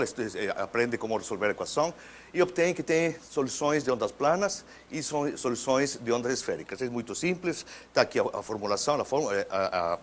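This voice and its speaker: A man from Mexico, a native Spanish speaker with a Mexican accent, giving an academic physics lecture in Portuguese, halting and restarting words and often clearing his throat. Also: mid-range voice, slight background noise.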